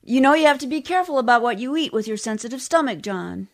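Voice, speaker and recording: A woman's voice: on the high side, lively intonation, fast talker. A woman playing a bossy, needling housewife in a 1940s-style radio comedy, with a pointed, scolding delivery. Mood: brisk nagging. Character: bossy, needling, nagging housewife, unflappably critical. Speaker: community theatre actor.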